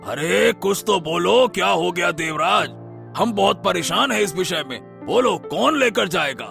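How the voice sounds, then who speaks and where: A man playing an anxious, kindly king in an animated folk tale, speaking in a drawn-out, theatrical way. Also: sits high, vocal fry, soft background music, heavily acted. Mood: worried. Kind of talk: animated Hindi moral-story voice acting.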